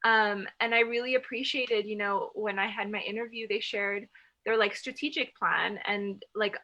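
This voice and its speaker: A woman's voice: mid-range voice, fairly even intonation, normal speed, sharp tone, lo-fi sound. A woman from Lebanon who grew up in Dubai, introducing herself casually on a group video call in a chatty way full of "like". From Lebanese, grew up in Dubai, UAE. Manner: informal, chatty, frequent "like". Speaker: new staff member of a theater organization, director.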